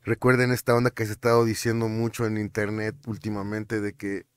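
A young Mexican man with a Mexican accent, talking casually on his finance vlog, animated and often stumbling over and repeating words. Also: on the low side, brisk, smooth, no room sound. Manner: animated, chatty, stumbles and repeats words, slips in English terms. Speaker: finance vlogger. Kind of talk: casual commentary over screen captures.

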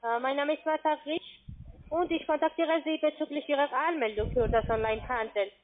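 A woman with a non-native foreign accent, giving a formal scripted sales pitch with frequent short tag questions. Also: brisk, slight background noise. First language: not German. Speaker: call centre sales agent. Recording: narrow-band phone line.

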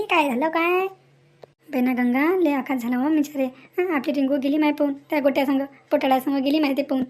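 A woman's voice: sits high, a little mellow, lightly acted. A woman voicing a worried, folksy village woman in a cartoon family drama, with a Varhadi Marathi accent and a plaintive manner. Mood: alarmed, indignant.

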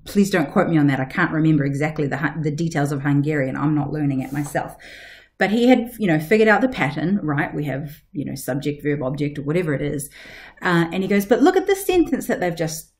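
A woman's voice: low voice, animated, brisk, darkish tone. A woman in her forties, a language teacher hosting a podcast, chatting and explaining at home into a webcam, digressing with lots of fillers. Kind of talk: casual explanatory podcast talk. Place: living room at home. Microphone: webcam at desk.

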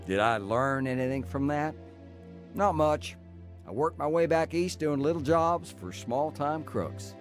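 An actor playing a world-weary gambler and crook who narrates a radio drama in a wry, clipped, hardboiled way. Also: male voice, on the high side, unhurried, music in the background, close to an everyday voice. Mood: wry recollection. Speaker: narrating protagonist. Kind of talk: first-person radio drama narration and acting.

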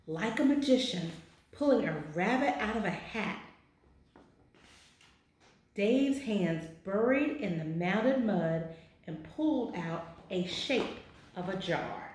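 A woman in her forties reading a children's picture book aloud with deliberate, expressive phrasing in an office. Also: mid-range voice. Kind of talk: children's storybook read-aloud. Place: small indoor office. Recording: very echoey, roomy sound.

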